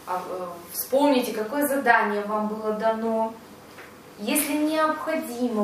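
Woman guiding in a very echoey room. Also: mid-range voice. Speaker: guide of a group visualization session. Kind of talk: unscripted guided meditation with casual discussion. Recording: very echoey, distant mic, clear background noise.

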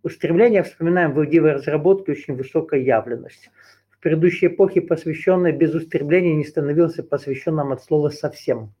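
Male teacher, slightly high voice, improvised lecture that loops and rephrases with fillers. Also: muffled recording. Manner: discursive, circles back and rephrases, hesitant fillers. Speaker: teacher leading a group session. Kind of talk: unscripted esoteric lecture.

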